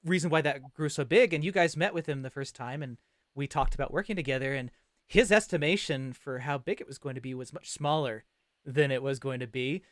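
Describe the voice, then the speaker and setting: A man's voice: mid-range voice, brisk, no echo, clean studio sound. A man hosting a casual interview livecast, talking in an enthusiastic, affirming way. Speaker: host and interviewer.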